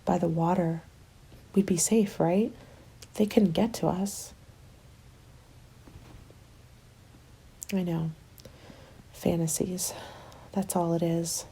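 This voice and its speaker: A woman playing a weary survivor of a zombie winter in a soft, breathy, confiding ASMR roleplay. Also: lowish voice, steady tone, slow pace, pauses often, a little hiss or hum, poor audio. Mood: wistful.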